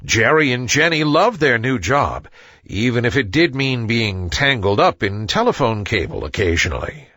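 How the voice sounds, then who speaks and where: A man reads a children's story with a hugely varied, swooping melody. His voice is fairly high and husky, and the sound is dry and close. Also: dry recording. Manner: expressive storybook delivery. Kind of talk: scripted children's story narration.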